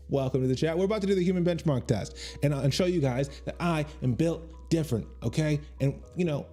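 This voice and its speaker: A man in his thirties talks loosely to his livestream viewers, joking at his own expense and drifting from one tangent to the next. His voice is mellow and relaxed, in a small home room, close to a boom mic, with faint music underneath. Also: a little fast. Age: 30s. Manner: rambling, self-deprecating and joking, reacting to chat. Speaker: comedian streaming on Twitch. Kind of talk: casual livestream chat with viewers. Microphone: studio mic on boom arm.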